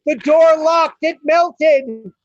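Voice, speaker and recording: A woman narrating a scripted radio play in deliberate short phrases, on a dull lo-fi recording. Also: high voice, soft background music. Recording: dull, dry, lo-fi sound.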